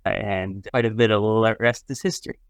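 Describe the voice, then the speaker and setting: A man from Ohio talks in a smooth, clear voice, stumbling and repeating his first words before he settles into a thought. The recording is dry and close. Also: fairly low pitch, fairly even intonation, no echo. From Ohio, United States. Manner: halting, repeats words as he starts sentences. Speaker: interview guest. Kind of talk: casual conversation.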